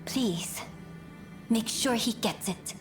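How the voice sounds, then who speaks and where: A woman playing a gracious, composed princess in a video game, speaking in a refined, formal and measured way over music. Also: a little high. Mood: composed, resolute. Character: gracious, composed noble princess, gently resolute. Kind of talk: video game cutscene acting. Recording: dialogue over clear music.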